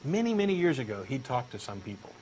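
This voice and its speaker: A man's voice: medium pitch, sing-song, quick pace, a little mellow, a little hiss or hum, poor audio. A man with a Texan accent reading a comic dialogue as an eager, insistent believer. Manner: eager, insistent true believer, earnest and pushy. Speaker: co-host doing a comic dramatic reading.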